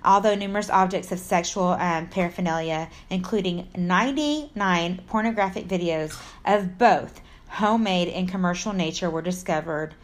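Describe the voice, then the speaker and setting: A woman's voice: on the low side, normal speed, few pauses. Informal American woman telling a true crime story. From Texas, United States. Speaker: true crime podcast co-host. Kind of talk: reading a scripted story with casual asides.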